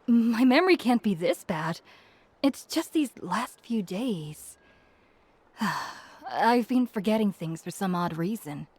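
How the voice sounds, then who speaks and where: A woman voicing a chatty, warm-hearted village woman in a fantasy video game, explaining things in a slightly flustered way. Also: medium pitch, animated, takes it slowly, husky, no room sound. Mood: puzzled.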